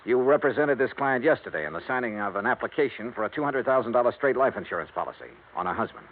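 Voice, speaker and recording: A man's voice: medium pitch, big pitch swings, fast talker. A man with an American accent playing a shrewd, skeptical insurance investigator in old-time radio noir, with clipped, probing delivery. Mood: pressing, skeptical. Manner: clipped, probing, hard-boiled questioning. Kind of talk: old-time radio noir acting with first-person narration. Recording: muffled, noisy vintage radio recording.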